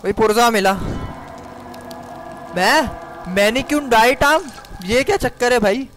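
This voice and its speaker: A man's voice: very high voice, a little slow, fairly bright tone. A man commentates live on his gameplay. He talks to himself and to viewers in short exclamations, with faint music from the game behind him. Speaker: game streamer.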